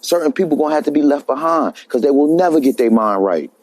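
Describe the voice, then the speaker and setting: A man's voice: on the high side, animated, quick pace, darkish tone, dry recording. A man calling in, emphatic and preachy, who says "man" often. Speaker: caller. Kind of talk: casual opinion rant.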